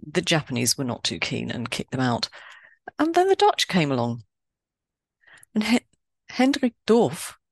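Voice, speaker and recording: A woman hosting a podcast, reading a scripted, explanatory talk in a measured way and lifting her pitch on key words. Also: vocal fry, no echo. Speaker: podcast host.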